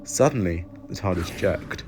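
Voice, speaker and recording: A man narrates an audio story from a script. His voice sits on the low side. Clear background noise is audible behind him. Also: moderate pace. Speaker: audiobook reader.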